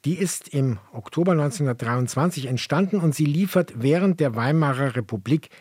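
A man co-hosting a history program, explaining in a measured way. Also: a little high, lively intonation, darkish tone, breathy edge, dry recording, clean studio sound. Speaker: co-host of a history podcast. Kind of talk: explanatory radio history narration.

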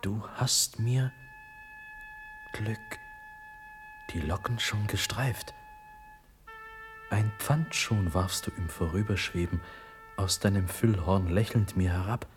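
Dreamy prince monologue, low voice, slow and solemn, faint music behind. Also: male voice. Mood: exalted. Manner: solemn, declamatory. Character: dreamy, ardent young prince invoking Fortune. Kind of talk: German verse monologue, radio drama acting.

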